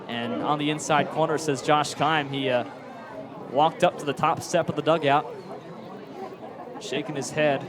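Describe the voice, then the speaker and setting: A man's voice: on the high side, fairly even intonation, measured pace, long pauses. A man giving analytical baseball commentary as a color commentator. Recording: clear stadium crowd noise behind. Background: ballpark crowd.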